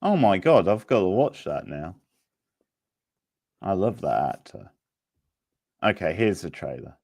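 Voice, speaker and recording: A man's voice: deep voice, sing-song, unhurried, gravelly, darkish tone. A man hosting a live-streamed quiz in an informal, chatty way, reacting to players' answers. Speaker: quiz host.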